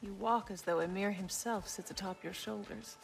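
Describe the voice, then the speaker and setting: A woman playing a calm, resolute and compassionate warrior wife in a video game, with measured, formal, old-world phrasing. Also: mid-range voice, gravelly, close to an everyday voice. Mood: wry, challenging. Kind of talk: dramatic video game acting. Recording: music behind the voice.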